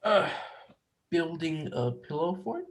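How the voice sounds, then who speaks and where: A man's voice: fairly high pitch, animated, slow pace. A man playing an awkward, defensive psychologist with a hesitant, dry manner in a dramatic script reading. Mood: puzzled, earnest. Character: awkward, defensive adult psychologist working with young children.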